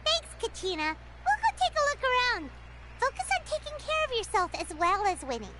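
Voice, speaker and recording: A woman's voice: extremely high pitch, huge pitch swings, moderate pace, very noisy, exaggerated voice. A woman playing a tiny, cheerful, childlike fairy companion in an anime video game. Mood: helpful, earnest. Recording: game music behind the voice.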